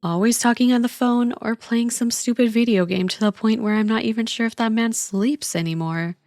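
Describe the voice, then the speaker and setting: A woman's voice: on the high side, a little fast, darkish tone, no echo, lightly acted. A woman playing a friend's teasing older sister in a casual, intimate ASMR roleplay, chatty, playful and reassuring.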